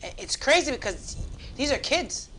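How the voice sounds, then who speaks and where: A woman's voice: sits high, sing-song, normal speed, a bit bright, slight background noise. A woman chatting casually as a host, colloquial and often interjecting short remarks. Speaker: talk show host. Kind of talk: casual chat.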